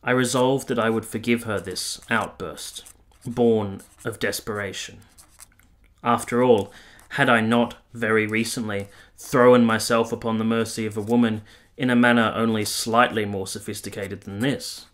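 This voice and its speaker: A man playing an ardent, self-regarding young army officer, narrating a period radio drama in a formal, measured, literary manner. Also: fairly low pitch, fairly even intonation, a bit bright, close to an everyday voice. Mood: earnest. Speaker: narrator and lead character.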